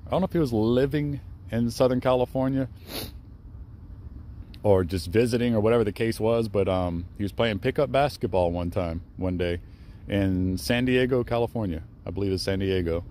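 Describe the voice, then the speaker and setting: A deep-voiced young man records a casual travel vlog outdoors in a cemetery. He rambles with plenty of fillers and restarts, keeping his tone hushed and respectful. Faint outdoor noise sits behind the camera-mic sound. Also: moderate pace. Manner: rambling, with many fillers and self-corrections. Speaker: travel vlogger. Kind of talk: casual vlog monologue at a graveside.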